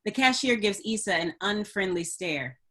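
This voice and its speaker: A woman's voice: mid-range voice, animated, normal speed, a bit bright. A woman reading stage directions as scripted narration for a screenplay table read.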